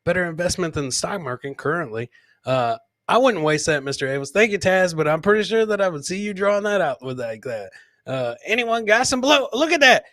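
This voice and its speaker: Male comedy host, highish voice, animated intonation, informal live commentary, showman-like repetition. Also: fairly quick, dry recording, clean studio sound. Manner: informal, repeats catchphrases like a showman. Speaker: podcast host.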